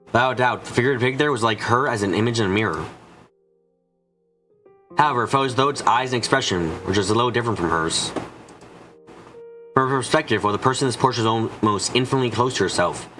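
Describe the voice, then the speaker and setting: A man's voice: medium pitch, fast talker, long pauses, sharp tone, soft background music. A man reading scripted story narration as the narrator of a fan-dubbed visual novel.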